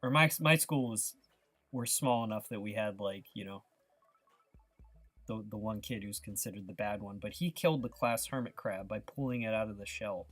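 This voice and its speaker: A man's voice: fairly low pitch, moderate pace, fairly bright tone, no room sound, soft background music. A man hosting an art livestream, chatting casually and ramblingly with viewers and prone to giggling. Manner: giggly, breaks into laughter often. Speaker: livestreamer, artist hosting a live painting stream. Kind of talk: casual chat to live viewers.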